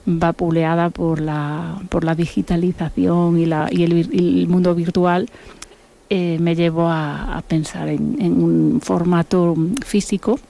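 A woman from Buenos Aires, a musician and author, talking in a relaxed interview and circling back with repeated words as she thinks. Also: lowish voice, a little slow, vocal fry. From Argentina (Buenos Aires).

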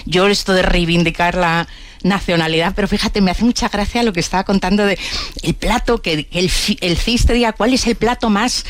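A woman's voice: medium pitch, a little fast, dry recording. A woman from Madrid with an accent from Spain, chatting casually as a panelist in a rambling way. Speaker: talk show panelist. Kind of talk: casual chat.

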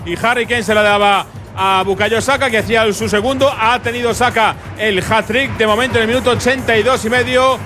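A man's voice: high-pitched, fairly even intonation, fairly quick, bright, forceful tone. A man giving live football commentary as a match reporter. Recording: music and crowd behind commentary.

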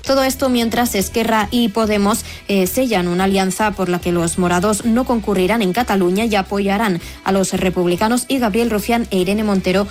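A woman reads a political news item on the radio, steady and formal. Faint music runs under her voice. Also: mid-range voice, fairly quick, few pauses. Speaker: newsreader. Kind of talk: scripted news reading.

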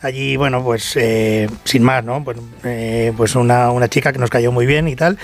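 A man with a Castilian Spanish accent chatting as a musician in an interview, halting and leaning heavily on filler words. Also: mid-range voice, steady tone, soft background music. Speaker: band musician being interviewed. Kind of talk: casual group interview.

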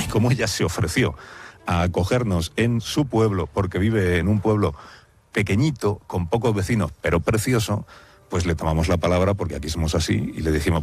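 Male radio host, very low pitch, conversational and playful, outdoors. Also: a little mellow, husky. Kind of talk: on-location radio interview. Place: village square outdoors.

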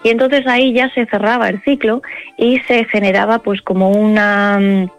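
A woman from Murcia with an accent from Spain, explaining her work as an academic interview guest in a halting, hesitant way with frequent pauses. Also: medium pitch, fairly even intonation, soft background music. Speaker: interview guest, university professor and scientist. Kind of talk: spontaneous explanatory interview answers. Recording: dull lo-fi radio sound.

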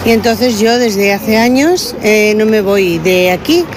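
A woman's voice: medium pitch, measured pace, background noise. A woman from Barcelona speaking spontaneously as a fan interviewed in the street. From Barcelona, Spain. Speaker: bullfighting fan interviewed in a queue. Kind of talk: spontaneous vox pop.

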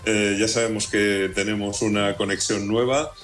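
A man's voice: lowish voice, fairly even intonation, moderate pace, soft background music. A man, a travel journalist on a radio show, speaking in an easygoing way with some hesitant fillers. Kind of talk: casual radio chat.

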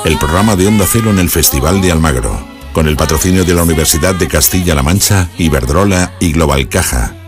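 A man reading a radio station promo in a deep announcer manner. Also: brisk, over music. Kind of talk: promo voice-over.

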